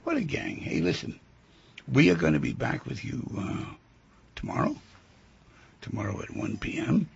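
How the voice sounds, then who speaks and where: Gravelly radio host with a lively voice. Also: male voice, medium pitch, normal speed, pauses often, dull audio. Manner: gentle, probing questions to callers. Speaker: radio talk show host. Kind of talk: call-in radio show.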